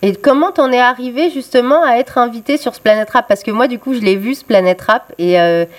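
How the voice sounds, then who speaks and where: A woman's voice: fairly high pitch, fairly quick. A young woman hosting a radio interview, speaking hesitantly with many filler sounds. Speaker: radio host.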